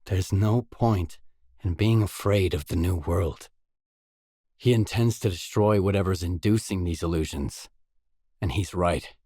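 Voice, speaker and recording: An actor playing an earnest, determined stalker in a post-apocalyptic video game, speaking in a measured, solemn way. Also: male voice, low-pitched, dark tone, no room sound, studio quality. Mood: solemn, resolute. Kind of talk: scripted video game dialogue.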